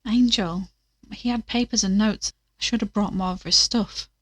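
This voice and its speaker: Audio drama: worried, earnest female amateur sleuth, played by a woman, mid-pitched, hesitant, dry recording. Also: fairly even intonation, moderate pace, no echo, close to an everyday voice. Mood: uneasy.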